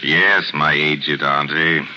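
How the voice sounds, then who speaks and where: An actor playing a flippant, urbane, cynical brother in a 1940s radio thriller, with a droll, sardonic, theatrical manner. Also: male voice, rumbling low, fairly even intonation, slow, background noise. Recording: dull old radio recording, music behind.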